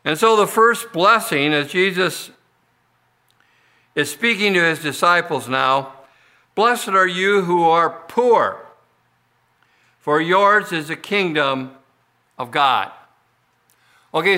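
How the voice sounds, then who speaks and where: A male pastor delivering an expository sermon at an unhurried, deliberate pace. His voice sits a little high for a man and rings bright and clear. He often backs up and restarts phrases as he works through the text. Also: lively intonation. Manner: slow, deliberate expository preaching with frequent restarts.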